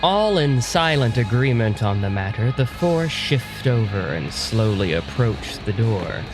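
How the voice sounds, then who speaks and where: A man's voice: on the low side, big pitch swings, a little slow. A man narrating an audio drama in a scripted storytelling style. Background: music.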